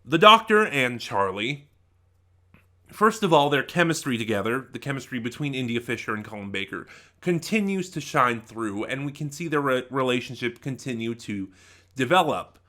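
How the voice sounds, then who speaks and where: Male reviewer, bright forceful tone, lively swinging pitch, informal and rambling, often restarts with emphatic stresses. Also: moderate pace. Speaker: audio drama reviewer. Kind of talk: casual rambling review monologue.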